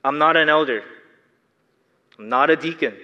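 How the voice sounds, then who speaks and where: A man's voice: a little high, moderate pace, lo-fi sound. A young adult man from the Philippines with a Filipino accent and a slight lisp, preaching an earnest sermon and asking his congregation questions. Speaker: lay preacher. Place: large church hall. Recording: long hall reverb.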